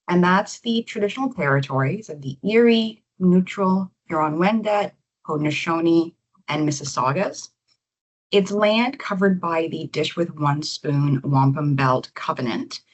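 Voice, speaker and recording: Woman, low voice, executive presenting a webinar, slightly muffled audio. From Canada. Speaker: executive director presenting a session.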